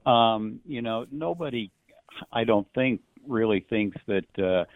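A man calling a sports show by telephone, offering casual opinions in hesitant, halting phrases over a tinny line. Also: mid-range voice, lively intonation, gravelly, dry recording. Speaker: radio call-in listener. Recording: tinny dry phone line.

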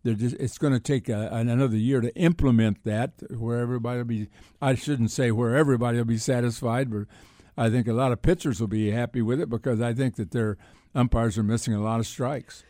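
An American man hosting sports talk in a casual, halting way, often repeating words and rephrasing as he goes. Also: mid-range voice, steady tone, warm tone, no echo, studio quality. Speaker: sports talk show host.

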